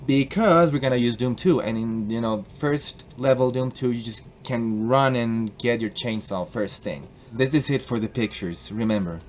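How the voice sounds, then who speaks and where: A young man with a Colombian accent casually explaining a software tutorial, joking and slipping into put-on accents. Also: mid-range voice, normal speed, hardly any pauses, slight background noise. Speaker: tutorial host.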